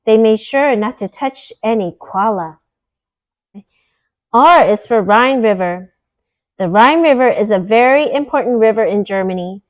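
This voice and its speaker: A woman reading a children's picture book aloud in a deliberate, teacherly way, explaining words as she goes. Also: a little high, expressive, poor audio.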